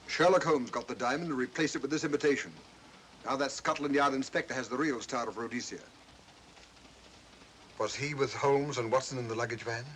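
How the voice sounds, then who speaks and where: A man plays a cold criminal boss in a 1940s thriller, with a mid-pitched voice. He is controlled and commanding, pressing his points with smooth insistence. A faint noise runs through the old film soundtrack. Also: steady tone, brisk, a bit bright, lightly acted. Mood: calculating, persuasive. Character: cold, calculating male criminal mastermind, persuasive, commanding. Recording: noisy, aged film soundtrack.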